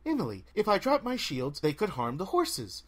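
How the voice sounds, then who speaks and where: Guy doing a young fighter girl in a story, super polite and formal, unbothered, kinda high voice. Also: wildly swooping pitch, normal speed, exaggerated voice. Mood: calm, polite. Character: calm, precise, unworried young warrior girl.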